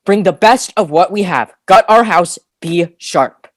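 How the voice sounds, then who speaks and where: A woman's voice: on the low side, a little slow, no echo, clean studio sound. A woman playing a proud, commanding boy leader in an audio play. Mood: bossy, eager.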